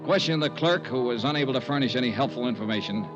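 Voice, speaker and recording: An American actor playing a tough, shrewd insurance investigator in clipped 1950s radio detective style. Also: male voice, medium pitch, fast talker, close to an everyday voice. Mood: businesslike narrating. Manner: clipped, hard-boiled delivery. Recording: vintage 1950s radio recording, dull, music behind.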